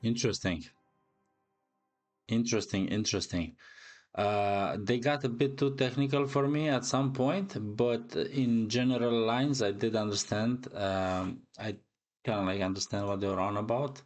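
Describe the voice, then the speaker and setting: A man with a fairly low voice gives casual reaction commentary as a streamer. He speaks informally and straight to his viewers, often repeating a word or phrase. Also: fairly even intonation, unhurried, darkish tone, faint music behind. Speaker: reaction video host, streamer.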